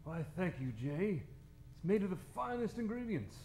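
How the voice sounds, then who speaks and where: An actor playing a proud, patriotic chef in a parody 1940s radio advert, lofty and earnest. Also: male voice, very animated, normal speed, a little mellow. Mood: proud, rapturous. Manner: lofty, poetic, earnest pitchman. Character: proud, patriotic chef pitching dessert in a sponsor spot. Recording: roomy, faintly noisy stage recording.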